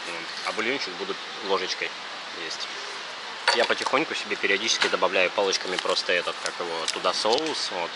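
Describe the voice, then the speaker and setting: A man in his thirties vlogging casually while he eats, talking in an informal, halting way with plenty of fillers. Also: low voice. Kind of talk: casual chat while eating. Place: large open restaurant, indoors. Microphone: action camera on the table at close range. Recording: loud restaurant ambience noise.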